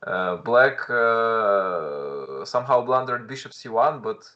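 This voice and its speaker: A man's voice: medium pitch, animated, slow, pushed and bright, dull audio. Russian-accented man commenting live chess. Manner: thinking aloud with hesitant restarts, greeting chat viewers.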